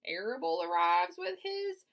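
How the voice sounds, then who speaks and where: A woman's voice: very high voice, big pitch swings, unhurried, gravelly, a bit bright, pitched above the performer's own voice, full character voice. A woman voicing a blunt, gossipy old female sheep who delivers grim news matter-of-factly. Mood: knowing. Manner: blunt, matter-of-fact telling.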